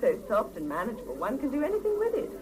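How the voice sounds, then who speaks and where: Formal salon lady, high female voice, lively intonation, officious politeness, old noisy radio tape. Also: quick pace. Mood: gushing. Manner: formal, smooth-talking, professionally polite. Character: gushing, officious lady hairdresser, formally courteous. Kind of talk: 1970s radio drama acting. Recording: noisy mono 1975 radio cassette recording.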